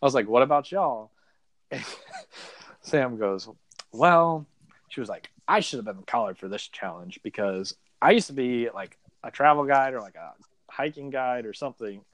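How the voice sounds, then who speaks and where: A man's voice: medium pitch, animated, normal speed, fairly bright tone, dry recording. A young adult man telling a story as a podcast guest in a casual chat, filling his talk with "like" and acting out quotes. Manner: chatty, peppered with "like", acts out quotes. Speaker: podcast guest, reality game contestant.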